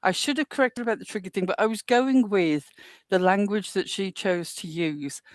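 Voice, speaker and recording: A woman with a Midlands English accent holds her ground in a heated panel discussion. She explains herself at length in a measured, defensive way. Her voice sits at a middle pitch, and the sound is close and dry. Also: no echo. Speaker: panel guest.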